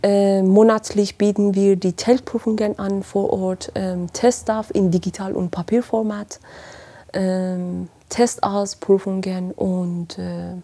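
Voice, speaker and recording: A woman's voice: medium pitch, little pitch movement, slow, warm tone. A woman from Iran, heads a language school, answering interview questions hesitantly with many fillers. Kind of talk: podcast interview.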